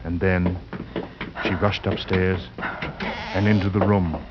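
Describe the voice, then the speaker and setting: A man narrating a suspense tale as a radio actor, grave and deliberate in a theatrical 1940s style. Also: low voice, flat delivery, noisy background. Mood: suspenseful. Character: grave, ominous male storyteller of a horror tale. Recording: muffled 1940s radio recording with music.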